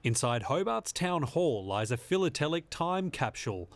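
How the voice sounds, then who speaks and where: A man reporter reading a scripted news voice-over with brisk, punchy phrasing. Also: a little high, very animated, no echo.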